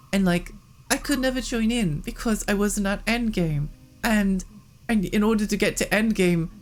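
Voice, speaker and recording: A woman livestreaming a video game, chatting casually and expressively, her voice worn and prone to cracking. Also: mid-range voice. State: tired, cracking voice from losing it. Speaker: live game streamer. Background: game music.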